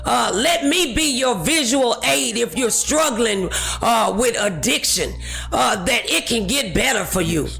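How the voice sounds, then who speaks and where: A woman's voice: fairly high pitch, lively intonation, a little slow, vocal fry, a bit bright, faint music behind. A woman hosting a talk show, preaching encouragement to her audience in an emphatic, exhorting manner with scripture.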